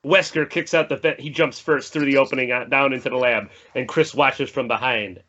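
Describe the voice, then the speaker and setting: A man's voice: medium pitch, steady tone, fairly quick, sharp tone, highs cut off. A man reading stage directions as narrator in a scripted podcast table read.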